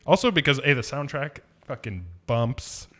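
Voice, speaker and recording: A man's voice: mid-range voice, expressive, moderate pace. A man co-hosting a podcast, giving his opinions on movies in an emphatic, informal and casually sweary chat style. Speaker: podcast co-host, movie reviewer.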